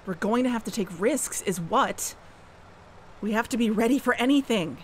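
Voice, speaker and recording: Woman acting a dogged, high-voiced podcast investigator. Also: expressive, normal speed, slight background noise, close to an everyday voice. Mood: frustrated. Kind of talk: audio drama acting with narration.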